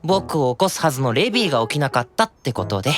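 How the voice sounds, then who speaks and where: A man's voice: medium pitch, sing-song, fast, faint music behind, close to an everyday voice. A man playing a drowsy, teasing young demon brother in an anime-style audio drama, with a coaxing, languid manner. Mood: gentle, sleepy.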